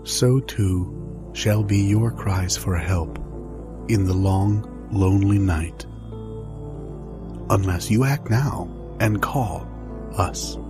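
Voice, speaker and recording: Guy doing solemn mystic ad voice, deepish and really slow, new age music under it. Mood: solemn, portentous. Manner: solemn, drawn-out, portentous. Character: solemn male new-age mystic oracle in mock advert.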